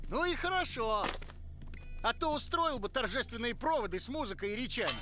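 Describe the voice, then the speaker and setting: A woman voicing a wry, practical, questioning member of a space expedition in an animated film. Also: fairly high pitch, a little fast, background noise, natural voice. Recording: music behind the voice.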